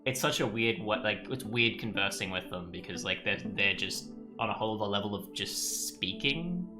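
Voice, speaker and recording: A man's voice: fairly low pitch, animated, normal speed, over music. A man doing casual let's play commentary, rambling and self-deprecating. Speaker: game streamer.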